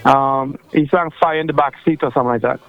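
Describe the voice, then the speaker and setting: A man's voice: mid-range voice, fairly even intonation, fast, fairly bright tone. A man with a Trinidadian accent calling in to a radio show, talking in a rambling, chatty way with lots of fillers and restarts. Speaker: radio caller. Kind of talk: casual phone-in chat. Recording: dull lo-fi phone line.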